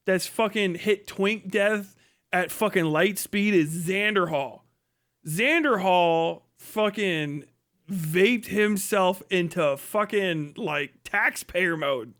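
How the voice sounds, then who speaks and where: High-voiced man on a sweary rant. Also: fairly bright tone. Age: middle-aged. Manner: blunt, mocking, heavy casual swearing. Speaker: live streamer, host. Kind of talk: profane comedic livestream rant.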